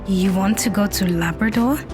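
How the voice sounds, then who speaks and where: A woman's voice: mid-range voice, normal speed, over music, natural voice. Woman playing an aloof, curt young woman. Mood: skeptical. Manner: dry, dismissive. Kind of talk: audio drama acting.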